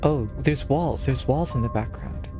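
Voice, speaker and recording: A man's voice: mid-range voice, measured pace. Man thinking aloud over game music. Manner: musing, thinking aloud, reactive. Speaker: streamer playing a visual novel. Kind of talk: casual reaction commentary. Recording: loud game music behind.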